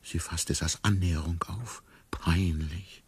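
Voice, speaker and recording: An actor playing a bewildered amnesiac man who muses wryly and deliberately in a radio drama monologue. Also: deep voice, steady tone, husky, full character voice. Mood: uneasy, wry. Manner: deliberate, musing, wry. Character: bewildered amnesiac man narrating his inner thoughts.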